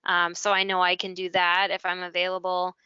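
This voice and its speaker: A woman's voice: on the low side, monotone, fairly quick, pushed and bright, clean tone, dry recording, muffled recording. An American woman explaining things conversationally, walking through examples like a guest presenting in a webinar. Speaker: school technology integration specialist, webinar guest. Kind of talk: conversational software walkthrough.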